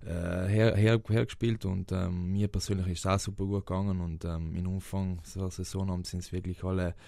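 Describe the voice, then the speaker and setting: A man's voice: sits low, little pitch movement, a little fast, vocal fry, mellow, no echo. A young man from South Tyrol with a South Tyrolean German accent, answering interview questions hesitantly with many fillers and restarts. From South Tyrol, Italy. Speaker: interviewed footballer.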